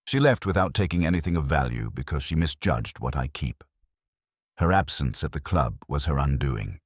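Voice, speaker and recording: A man voicing a stern, imperious detective who speaks formally and deliberately in an audiobook mystery. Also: extremely low pitch, lively intonation, breathy edge, dry recording, studio quality, exaggerated voice. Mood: coldly accusing. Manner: formal, deliberate, pronouncing judgment. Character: stern, imperious male genius detective, coldly accusing.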